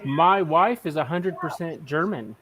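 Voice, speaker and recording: A middle-aged man with a slightly higher voice chats casually on a live stream. He jokes freely and laughs often, keeping things loose and unfiltered. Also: a little slow, music in the background, poor audio. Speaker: livestream host.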